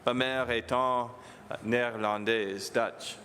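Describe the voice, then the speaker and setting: A priest whose first language is English, speaking French with an English accent, giving a homily to children in a halting manner and slipping English words in. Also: male voice, mid-range voice, steady tone, sharp tone, long reverb tail, a little hiss or hum.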